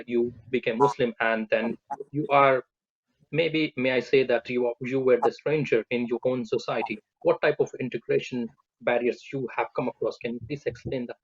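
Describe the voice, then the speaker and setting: Husky host, dull dry line. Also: male voice, mid-range voice, steady tone, normal speed, no room sound. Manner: polite, careful questioning. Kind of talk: live community discussion.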